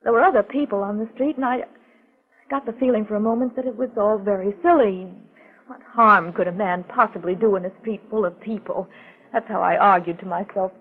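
A woman's voice: medium pitch, a little fast, breathy edge, lightly acted. An actress playing a frightened but composed woman, telling her story earnestly and hesitantly in a 1940s radio mystery. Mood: uneasy, recounting. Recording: muffled, tinny 1940s radio recording.

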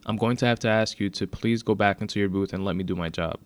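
Man playing a formal, low-voiced police officer. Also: steady tone, quick pace, no echo, lightly acted. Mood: firm, formal. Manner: formal, procedural. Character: polite by-the-book young male transit police officer.

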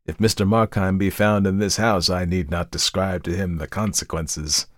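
A man voicing an uncanny devilish visitor who speaks politely, calmly and with kindly severity in a storybook reading. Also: deep voice, no room sound, clean studio sound, close to an everyday voice. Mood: courteous, calm. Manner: polite, measured, pleasant and unhurried. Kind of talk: dramatized literary storybook reading.

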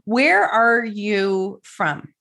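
A woman's voice: medium pitch, lively intonation, very slow, gravelly. Female host, mid-range voice; encouraging, affirming interviewer. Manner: warm and encouraging, affirming the guest. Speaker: podcast host. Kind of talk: interview hosting.

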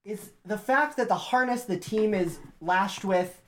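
A woman narrating a tabletop game as game master, animated and often laughing. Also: mid-range voice, measured pace.

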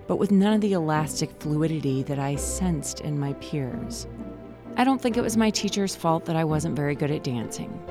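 A woman in her forties, hosting a podcast and narrating a personal story in a calm, reflective way. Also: sits low. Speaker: podcast host.

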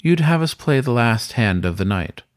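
A man's voice: mid-range voice, lively intonation, normal speed, close to an everyday voice. A man voicing a seductive, courtly weasel who is master of a haunted hotel, speaking in somber, whispered storybook dialogue. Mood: seductive, solemn.

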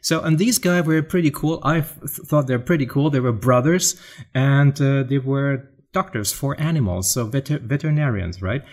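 A man's voice: mid-range voice, moderate pace, darkish tone. A middle-aged man from Germany with a German accent, a photographer chatting as an interview guest, often restarting and repeating words while searching for his English. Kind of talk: casual interview chat.

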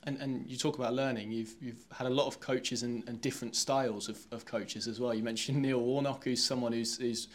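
A man's voice: mid-range voice, fairly even intonation, a little fast, hardly any pauses. A man asking long, conversational questions as a sports interviewer. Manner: long, wordy questions with repeated words.

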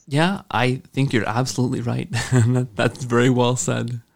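A man's voice: medium pitch, level tone, normal speed, vocal fry. A man speaking as a podcast host, effusive and thankful in his delivery. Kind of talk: podcast interview and scripted sign-off.

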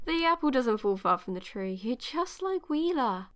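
A woman's voice: high-pitched, very animated, moderate pace. A woman playing a supportive, teasing tech expert in a naturalistic audio drama, with a casual, wry way of talking.

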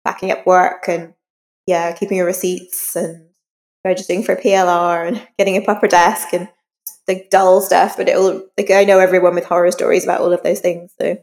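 A woman's voice: on the low side, level tone, moderate pace, a little mellow. A woman who is an author, speaking candidly and informally as an interview guest, reflecting as she talks. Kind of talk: casual interview conversation. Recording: long reverberant room sound.

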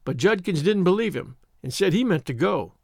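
Respectful storybook boy scout, male narrator acting, hesitant and eager, highish voice, lively intonation. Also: normal speed, creaky, no echo, studio quality, heavily acted. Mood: anxious, respectful. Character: eager, respectful young schoolboy scout reporting.

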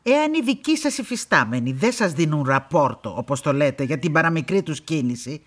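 A woman's voice: low voice, lively intonation. An actress playing a stern, imperious upper-class woman estate owner in a radio play, curt and haughty, with formal old-fashioned phrasing. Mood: haughty, indignant.

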